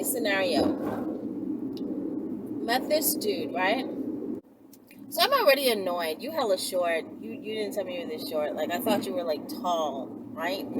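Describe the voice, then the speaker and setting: A woman vlogging in a casual, chatty storytelling style, blunt and slangy, with animated asides. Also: mid-range voice, heavy background noise. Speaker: vlogger and channel host.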